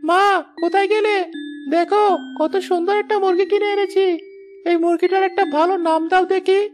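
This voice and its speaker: A woman's voice: very high-pitched, steady tone, a little fast, darkish tone, faint music behind. A woman voicing an earnest, caring schoolboy from a poor village in a moral story cartoon. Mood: eager, affectionate. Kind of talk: scripted children's moral story cartoon dubbing.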